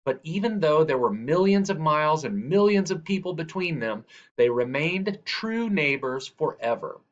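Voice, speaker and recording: A man reading a children's picture book aloud in a measured, deliberate way. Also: fairly high pitch, expressive. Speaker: reader. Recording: dull, lo-fi sound.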